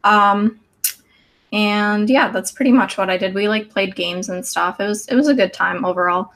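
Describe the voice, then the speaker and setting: A woman's voice: mid-range voice, normal speed, a bit bright. A woman in her mid-twenties co-hosting a casual live stream in an easygoing way, with ums between thoughts. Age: 20s. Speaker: co-host and adult advisor.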